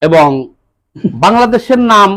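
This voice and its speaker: High-voiced, animated Bangladeshi man commentating. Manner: deliberate, halting phrases with rhetorical questions. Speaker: political commentator. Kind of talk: political monologue to viewers.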